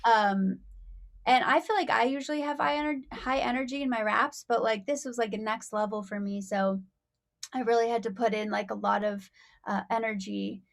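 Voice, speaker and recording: A woman's voice: on the high side, normal speed, creaky. A young woman, a rapper and songwriter, talking casually as an interview guest, bubbly and full of "like" and "you know.".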